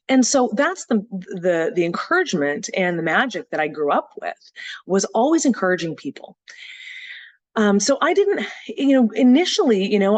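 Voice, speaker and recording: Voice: mid-range voice, no room sound. Earnest, reflective speaker from Texas. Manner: earnest, reflective storytelling with frequent "you know" and "uh". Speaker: summit speaker. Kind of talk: personal story in a motivational talk.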